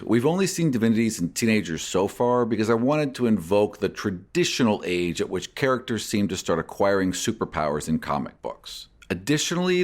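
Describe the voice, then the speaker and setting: A man reading out fan questions and scripted answers as a podcast host and game master, in an articulate, expository way. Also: lowish voice.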